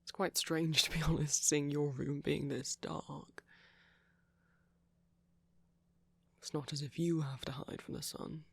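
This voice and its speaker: A man playing a soft, caring vampire boyfriend in an intimate ASMR roleplay, speaking gently with pauses. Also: on the high side, steady tone, no echo, full character voice. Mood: teasing, puzzled. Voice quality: soft, breathy.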